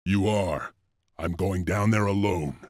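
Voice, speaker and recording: An actor playing a grim, resolute clan leader in a fantasy game, speaking solemnly and with determination. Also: male voice, low-pitched, takes it slowly, darkish tone, no echo, studio quality. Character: grim, resolute male wolf clan leader and rebel hero. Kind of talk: dramatic fantasy game voice acting.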